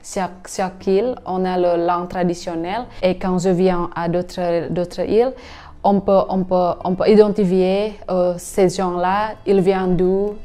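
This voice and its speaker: Slow, mellow-voiced woman. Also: fairly low pitch, little pitch movement. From Indonesia. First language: Indonesian. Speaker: interviewee. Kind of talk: hesitant non-native French, restarting words.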